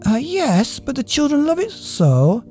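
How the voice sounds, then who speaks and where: A woman playing a high-pitched, caring storybook schoolmistress. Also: wildly swooping pitch, unhurried, soft background music, exaggerated voice. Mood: pleading. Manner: gentle, pleading, firm. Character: kindly, anxious schoolmistress Miss Clavell, firm with little girls. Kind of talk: children's storybook character voice.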